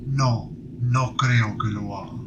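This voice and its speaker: A man playing a skeptical veteran Iron Warrior who speaks in a slow, wary, questioning way. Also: medium pitch, steady tone, dull audio, a little hiss or hum, close to an everyday voice.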